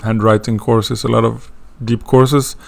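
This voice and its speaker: Hesitant Swedish man, gravelly voice. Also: mid-range voice, little pitch movement, a little slow, a little mellow. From Sweden. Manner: hesitant, many fillers and restarts. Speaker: astrology teacher. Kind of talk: casual course introduction.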